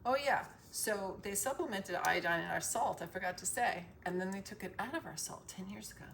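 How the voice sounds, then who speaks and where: Gravelly, strained-voiced woman vlogger. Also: medium pitch, brisk, a bit bright, a little hiss or hum. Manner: profane, emphatic, goes off on tangents. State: voice strained from loud singing. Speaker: wellness vlogger sharing a self-cure. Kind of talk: casual, rambling advice vlog.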